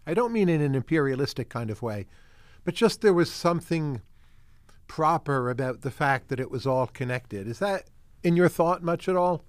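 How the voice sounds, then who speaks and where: A man's voice: on the high side, expressive, moderate pace, no room sound, studio quality. An older man hosting an interview, asking probing questions over a video call while wearing headphones. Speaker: podcast host.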